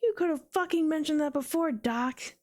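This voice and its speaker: Woman doing a blunt hothead guy, snappy, a bit high. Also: moderate pace, darkish tone, lightly acted. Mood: angry. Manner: curt, snapping. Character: blunt, hot-tempered man.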